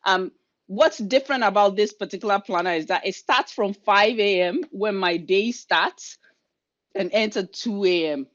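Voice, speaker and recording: A middle-aged woman with a Ghanaian accent, leading an online workshop in a quick, energetic, chatty way over a video call. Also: mid-range voice, highs cut off. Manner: fast, energetic, chatty delivery. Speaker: leadership coach and workshop leader.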